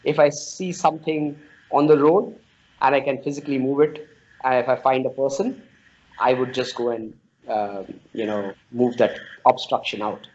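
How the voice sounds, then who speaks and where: A man's voice: medium pitch, fairly even intonation, darkish tone. Indian-accented man, dull lo-fi sound. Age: 40s. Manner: halting, repeats words while explaining. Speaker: interviewee, storytelling non-profit founder. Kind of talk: conversational interview answers with anecdotes. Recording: dull lo-fi audio.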